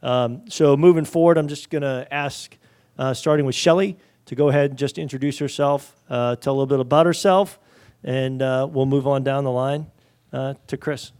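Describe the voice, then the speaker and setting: A man with a smooth, clear voice introducing a panel to a conference audience. He speaks at an average pitch, in a loose and unscripted way with frequent fillers. He drops in military turns of phrase as he goes. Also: fairly even intonation, normal speed, a little mellow. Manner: loose, filler-heavy delivery with military metaphors. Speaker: panel moderator.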